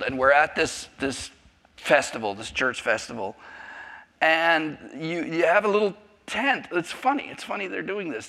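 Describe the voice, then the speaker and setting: A man in his fifties, raised in South Africa on Afrikaans but speaking with an American accent, tells his testimony to a church class. His voice sits a little high and rings bright and forceful. He rambles, cuts himself off and jokes with the room. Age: 50s. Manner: rambling, self-interrupting, jokey. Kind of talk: personal testimony talk.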